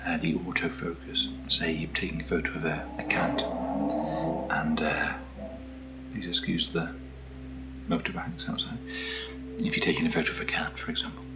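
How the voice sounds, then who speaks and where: A British man speaking in a soft, breathy near-whisper as he hosts a casual ASMR video, gentle and unhurried, close to the microphone. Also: very deep voice, level tone, loud music behind the voice. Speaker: ASMR video host reviewing camera gear. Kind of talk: soft-spoken ASMR, casual unboxing chat.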